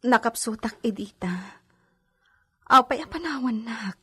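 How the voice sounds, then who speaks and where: A woman's voice: a little high, expressive, measured pace, fairly bright tone, slightly rough, exaggerated voice. An actress playing a weak, pleading pregnant young lady in a radio drama, plaintive and weary, with a Filipino accent. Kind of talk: Ilocano radio drama acting.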